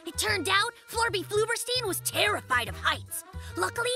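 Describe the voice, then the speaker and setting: A woman's voice: extremely high pitch, lively intonation, moderate pace, pushed and bright, faint music behind, full character voice. A woman voicing a cocky, playful little boy bear cub in a children's cartoon, glib and boastful. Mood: glib storytelling.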